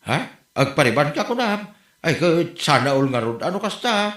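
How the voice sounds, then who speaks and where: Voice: medium pitch, very animated, moderate pace, a bit bright. A performer with an Ilocano accent playing a nagging, teasing character who keeps questioning in a comedy drama. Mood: incredulous. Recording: echoey room with music behind.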